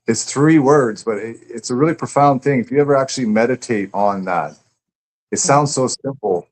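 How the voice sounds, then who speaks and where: A man speaking casually as an interviewed poet, reflective and halting as he searches for his words. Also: fairly low pitch. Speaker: poet and author being interviewed. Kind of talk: casual conversation about own writing. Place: live online stream.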